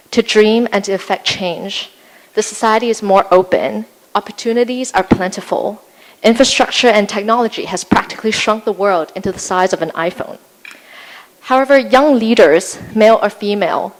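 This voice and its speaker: A woman's voice: mid-range voice, poor audio. Earnest young woman giving a speech. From Hong Kong. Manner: earnest, measured, slightly formal with small fillers. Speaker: award recipient. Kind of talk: prepared acceptance speech. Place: event hall.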